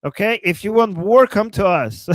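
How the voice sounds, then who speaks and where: A man with a Persian accent, pitched a bit high, hosting a live stream in an animated, jokey way. He laughs often and bursts out in reaction to chat messages. The sound is close and dry. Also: moderate pace, no room sound. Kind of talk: casual live-stream commentary.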